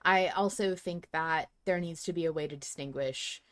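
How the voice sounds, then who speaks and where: A woman's voice: sits low, normal speed, no echo, clean studio sound. A woman hosting a casual fan podcast, talking conversationally. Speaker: podcast host.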